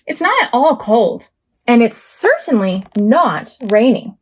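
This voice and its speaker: A small, eager storybook boy, voiced by a woman reading aloud. The voice sits fairly high, with earnest, expressive delivery suited to a picture book for very young children. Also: slow, slightly rough, full character voice. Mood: curious, cheerful.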